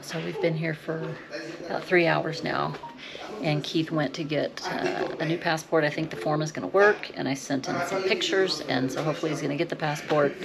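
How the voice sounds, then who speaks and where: Low, creaky-voiced woman, upset. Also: fairly even intonation, normal speed, a crowd in the background. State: upset, anxious. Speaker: travel vlogger. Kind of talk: candid vlog talk to camera.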